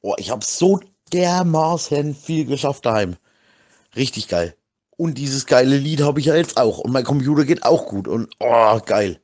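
Middle-aged man, medium pitch, casual and slangy, rambling voice-message delivery with frequent repeats. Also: animated, normal speed, a little mellow.

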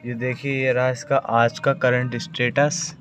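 A man's voice: mid-range voice, little pitch movement, unhurried, a bit bright, soft background music. A young adult man talking casually to viewers as a vlogger, explaining things step by step in an instructional way. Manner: instructional, addressing viewers directly. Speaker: vlogger keeping hens. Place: outdoor yard with plants and brick walls. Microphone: phone at arm's length. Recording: clear background noise, outdoor birdsong.

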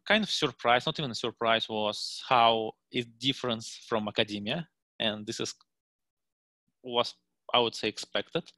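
A man's voice: medium pitch, pushed and bright, no echo. Russian-accented man on a video call. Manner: halting, many fillers and restarts. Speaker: guest speaker. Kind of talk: meetup presentation. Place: home room, indoors. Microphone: headset on video call.

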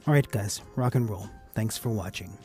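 A man with a gravelly, husky voice giving a casual, explanatory tutorial voice-over as the host of a hobby channel. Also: on the low side, moderate pace, a little mellow, faint music behind. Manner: casual, addresses viewers as guys.

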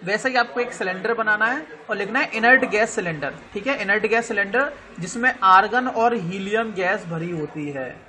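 Indian man teaching an online class, high bright voice, patient, spells terms out letter by letter. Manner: explains slowly, spells words out letter by letter, checks understanding often.

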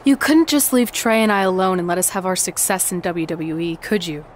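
A woman's voice: lowish voice, animated, fairly quick, a little hiss or hum, lightly acted. A woman playing an earnest, embattled wrestling champion in a video game cutscene. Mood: resentful.

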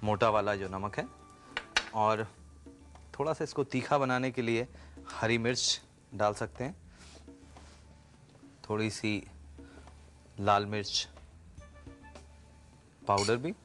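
A middle-aged man hosting a TV cooking show, explaining each step calmly with small pauses, recorded on a lapel mic in a studio kitchen. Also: lowish voice, faint music behind. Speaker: TV chef and host. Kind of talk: cooking show demonstration with chat.

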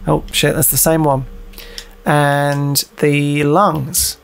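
Higher-voiced man, creaky, playing games. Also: level tone, extremely slow, a little hiss or hum. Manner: jokey, sweary, mutters and sings nonsense syllables to himself. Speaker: gaming video host playing a horror game. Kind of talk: casual let's-play commentary, reading on-screen text aloud.

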